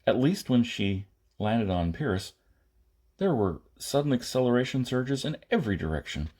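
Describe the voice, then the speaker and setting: A man reading a science fiction novel aloud as an amateur audiobook narrator, in short phrases with pauses and the odd stumble. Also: mid-range voice, big pitch swings, darkish tone. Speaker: audiobook reader.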